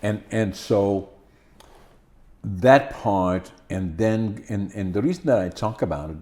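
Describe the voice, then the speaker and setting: An older man in his seventies from the Netherlands, speaking English with a Dutch accent and Dutch as his first language, hosting a podcast in a halting style full of fillers and restarts. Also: fairly low pitch, a little mellow. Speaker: podcast host. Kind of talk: casual conversation.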